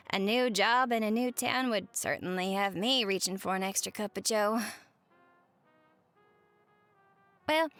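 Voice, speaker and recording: A woman playing a cheerful, chatty waitress on a diner night shift in a roleplay audio, with a Southern US accent and a folksy, expressive manner. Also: fairly high pitch. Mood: cheerful, attentive. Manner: folksy, expressive, uses pet names. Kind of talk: roleplay monologue to the listener, chatty storytelling.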